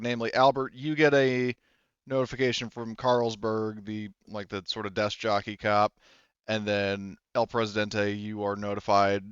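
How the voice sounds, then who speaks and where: Male game master, casual and meandering, clean smooth tone, lo-fi dry sound. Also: fairly low pitch, no echo. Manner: rambling, restarts sentences often. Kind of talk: casual tabletop game narration.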